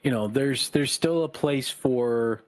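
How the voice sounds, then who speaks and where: A man co-hosting a podcast, chatting casually in a calm, even-tempered voice that is not raspy. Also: medium pitch, takes it slowly. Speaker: podcast co-host. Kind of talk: casual chat.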